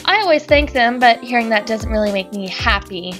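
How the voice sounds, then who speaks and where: Young woman reading a dating game out loud, bright voice, snarky asides, soft music under it. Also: fairly high pitch, lively intonation, a little fast. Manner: dramatic reading, sarcastic quips. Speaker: let's play host. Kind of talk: reading game text aloud with wry asides.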